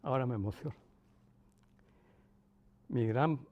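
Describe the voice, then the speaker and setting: A man in his sixties with a Cuban accent softened by Castilian Spanish, reminiscing in an interview in a measured way, with pauses and emphatic repetition. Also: mid-range voice, highs cut off. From Cuba. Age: 60s, about 64. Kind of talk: reflective personal reminiscence.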